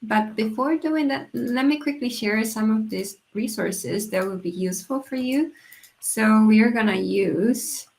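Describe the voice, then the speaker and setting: A woman's voice: a little high, a little slow, creaky, a little mellow. A woman from Japan, co-hosting a casual developer livestream in a chatty, loosely hedging way. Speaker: developer relations engineer, co-host. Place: home setup in Germany.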